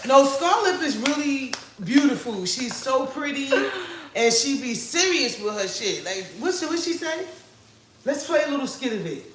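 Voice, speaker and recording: A woman with a New York accent talking loudly and playfully on a family livestream, joking and cursing. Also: sits high, expressive, moderate pace, echoey room, slight background noise, low-quality recording.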